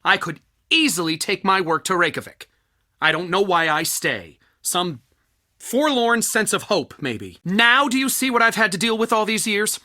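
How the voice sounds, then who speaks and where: A long-suffering male researcher from a video game. His voice is bright and swings widely in pitch, with a wry, self-pitying, theatrical delivery. The sound is close and dry. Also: sing-song, moderate pace, no room sound, heavily acted. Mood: bitter, forlorn. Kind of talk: video game character dialogue.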